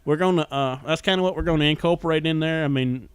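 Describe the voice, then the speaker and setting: A man's voice: a little high, fast talker, clear, resonant tone, dry recording. A man with a Southern US accent chatting casually as a podcast host, telling things in a folksy, rambling way. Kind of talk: casual chat.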